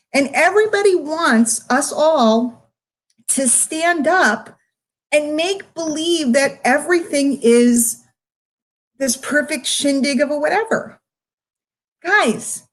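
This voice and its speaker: A middle-aged American woman giving a candid, off-the-cuff monologue to her followers, emphatic and confrontational, repeating phrases for stress. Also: high voice. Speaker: online marketer speaking to followers.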